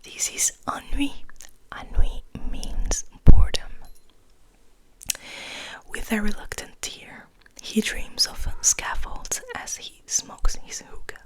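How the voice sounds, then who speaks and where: Whispering woman, slow and breathy. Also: poor audio. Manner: slow, deliberate, measured phrasing with pauses. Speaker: ASMR host reading poetry. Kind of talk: whispered ASMR poetry reading.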